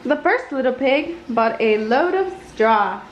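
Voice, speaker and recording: A woman reading a children's storybook aloud in an expressive, deliberate storytelling way. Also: sits high. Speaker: storybook reader. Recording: roomy, slightly dull, noisy home recording.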